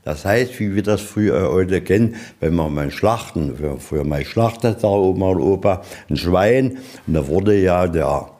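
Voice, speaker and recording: A middle-aged man with a deep, dark-toned voice works as a museum guide. He explains in a plain, colloquial storytelling style, setting up rhetorical questions as he goes. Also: lively intonation, moderate pace, few pauses. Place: inside a museum, walking tour.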